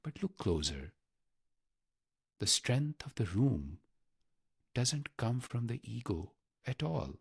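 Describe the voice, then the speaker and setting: A man guiding a meditation in a measured, reverent manner, pausing deliberately between short phrases. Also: medium pitch, big pitch swings, vocal fry, slightly rough, no echo, clean studio sound. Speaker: meditation guide. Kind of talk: guided meditation narration.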